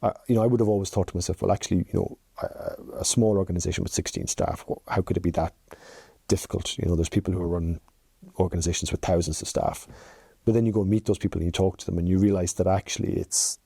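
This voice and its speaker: A very dark, mellow-voiced Irish man in his forties answers interview questions in a chatty, anecdotal way. His voice is low and gravelly, recorded dry and close. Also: steady tone, fast talker, no room sound. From rural Ireland. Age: 40s. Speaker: podcast guest, chief executive.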